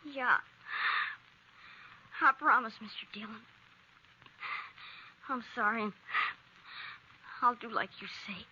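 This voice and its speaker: A woman's voice: on the high side, slow, pauses often, bright, forceful tone, slightly rough, exaggerated voice. A woman playing a distraught, pleading farm boy in a 1950s radio western, with plain rural speech. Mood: subdued, ashamed. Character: distraught farm boy with a gun, vengeful, hurting. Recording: muffled old radio recording.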